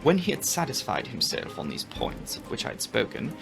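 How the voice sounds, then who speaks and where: A man reads a classic novel aloud as a dramatic audiobook narrator. His delivery is measured but very varied in intonation, with some vocal fry, over background music. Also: medium pitch, huge pitch swings, rough recording.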